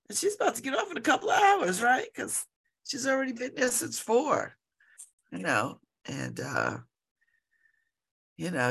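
A woman's voice: fairly low pitch, creaky, bright, forceful tone, breathy edge. A congested woman hosting local radio, chatting and rambling with exclamations. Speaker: radio host. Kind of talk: casual solo talk on local radio.

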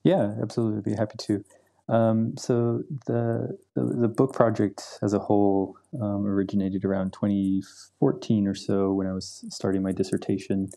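A man's voice: fairly low pitch, flat delivery, normal speed, mellow. A young man, a literature professor, answering interview questions in a thoughtful, hesitant way with many ums and repeated words. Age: young adult.

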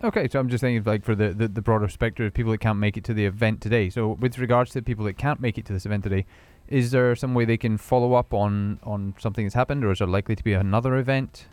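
A man's voice: on the low side, fairly even intonation, fast talker, few pauses, darkish tone, no echo. A man hosting a casual radio interview, rambling and restarting his questions as he talks. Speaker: radio host.

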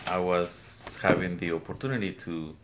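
An older man with a Guatemalan Spanish accent recounts his life as a weaver in an interview, speaking plainly and haltingly in his studio. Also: sits low, background noise. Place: weaving studio, indoors.

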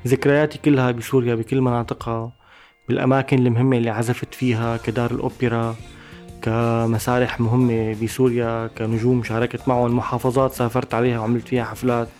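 A man with a Syrian Arabic accent, a musician giving a thoughtful interview, pausing and restarting mid-phrase. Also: medium pitch, level tone, a little mellow, soft background music. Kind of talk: reflective interview talk.

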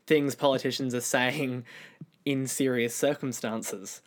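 A man's voice: medium pitch, steady tone, a little slow. An Australian man hosting a podcast, interviewing his guest in a conversational way.

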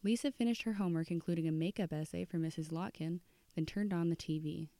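Mellow, low-voiced woman reading fiction. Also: fast, no echo. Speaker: audiobook narrator. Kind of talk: scripted fiction narration.

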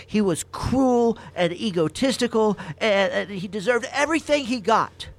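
A man's voice: sits high, lively intonation, normal speed. Guy doing a meek nervous robot bunny sidekick, hesitant, voice goes up and down a lot. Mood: uneasy, meek. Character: nervous, deferential robot rabbit henchman Cornflake. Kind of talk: comic radio play acting.

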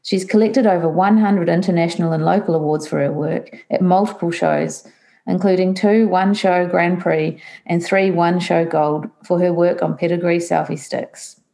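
A New woman hosts a podcast interview in a fairly low voice. She reads her introduction in a measured way and asks her questions with a few "um"s. Her delivery stays calm and unhurried. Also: vocal fry. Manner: measured, hesitant with fillers when asking. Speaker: podcast host.

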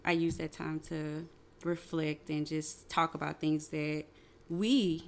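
A woman co-hosting a podcast, speaking reflectively and haltingly with many fillers. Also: low voice, fairly even intonation. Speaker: podcast co-host. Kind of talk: casual chat.

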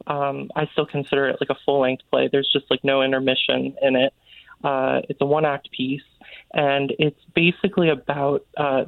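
Male author guest, earnest, effusive, creaky voice, tinny phone connection. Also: medium pitch, dry recording. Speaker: interview guest, author and playwright. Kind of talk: casual phone interview. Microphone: phone call. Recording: tinny, narrow phone line.

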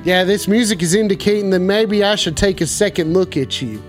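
Man putting on a high-pitched blustering cowboy gunslinger. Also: fairly quick, soft background music, lightly acted. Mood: suspicious. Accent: put-on American Southern. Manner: blustering, swearing, repeats himself. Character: hot-tempered foul-mouthed gunslinging cowboy with a drawl. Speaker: call-in show host. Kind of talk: improvised comedy live stream.

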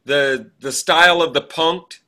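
Man, podcast co-host, slightly high pitch, forceful bright tone, casual chat, rambling storyteller. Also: measured pace.

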